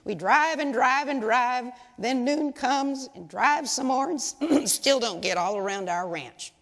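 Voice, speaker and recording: A boastful Texas rancher brags about his land in a drawling put-on accent, as an older woman reads him aloud in a joke. The voice sits higher and louder than her usual talk. A long reverb from the large sanctuary trails each phrase. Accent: put-on Texan. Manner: boastful, drawn-out storytelling.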